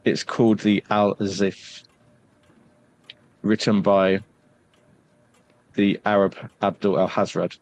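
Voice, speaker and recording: A man voicing a cautious scholar who guards forbidden books, speaking in a formal, measured, warning way in a tabletop game. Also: fairly low pitch, flat delivery, a little mellow, slight background noise. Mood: solemn warning.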